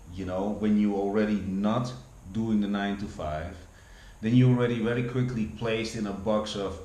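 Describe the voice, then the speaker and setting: A man's voice: low voice, warm tone. A man in his forties with a Dutch accent, rambling through a casual vlog monologue with many restarts and repeated words. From Netherlands. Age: 40s. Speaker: vlogger. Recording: echoey room with faint background hum.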